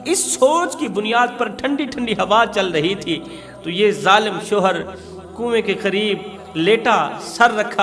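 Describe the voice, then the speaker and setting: A male preacher with a notably high-pitched voice tells a story as an impassioned sermon. His delivery is dramatic and pleading, hammering phrases through repetition. Long reverb surrounds the voice, with music underneath. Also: a bit bright.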